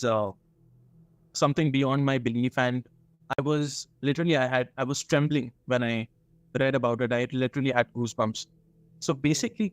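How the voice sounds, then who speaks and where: A man with an Indian accent tells a story as if chatting to a partner, at a medium pitch. His delivery is halting and full of small asides, and the recording is very dry. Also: no echo.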